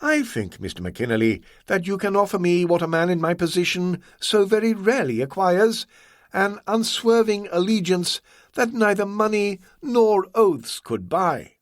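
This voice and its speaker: A suave crime boss with a silky, quietly threatening voice, as a male narrator puts him on. The voice sits high above the reader's own and swings lively. It is courteous on the surface and cold underneath, recorded dry and close. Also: measured pace, dry recording. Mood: coldly menacing. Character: suave, soft-spoken, menacing older male crime boss.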